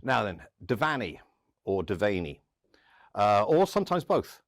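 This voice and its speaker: Deep-voiced man telling stories, very dry sound. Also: big pitch swings, dry recording. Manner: dramatic pauses and acted-out dialogue. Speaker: storyteller and podcast host. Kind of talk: theatrical first-person storytelling monologue.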